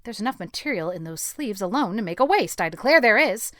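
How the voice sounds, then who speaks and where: A woman's voice: medium pitch, lively intonation, a little fast, fairly bright tone, no room sound, clean studio sound, lightly acted. A woman playing a stern, thrifty middle-aged spinster who speaks in a tart, disapproving way. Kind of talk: audiobook character acting.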